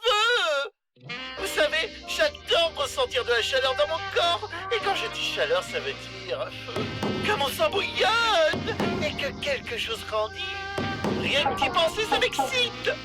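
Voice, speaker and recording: Very high, flamboyant seductive show-off. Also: female voice, very animated. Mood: teasing, excited. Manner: drawn-out, teasing, overexcited. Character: flamboyant, breathy, seductive anime show-off, burning with excitement. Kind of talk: French anime fan dub. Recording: music behind the voice.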